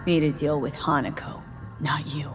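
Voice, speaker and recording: A woman's voice: low-pitched, little pitch movement, moderate pace, natural voice. An actress playing a tough, defiant, distrustful female mercenary in a video game, speaking in blunt, clipped slang with swearing. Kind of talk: dramatic video game dialogue. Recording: music behind the voice.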